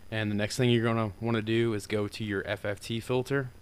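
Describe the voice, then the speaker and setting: A man with a deeper voice talking through a software tutorial in a loose, rambling way, full of fillers. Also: little pitch movement, a little fast. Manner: rambling and informal, with many fillers. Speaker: tutorial maker. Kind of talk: casual screen-recorded software tutorial.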